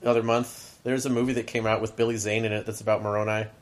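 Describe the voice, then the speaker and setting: A man's voice: on the low side, flat delivery, quick pace. A man hosting a podcast in casual chat, rambling with lots of fillers and laughing often. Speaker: podcast host.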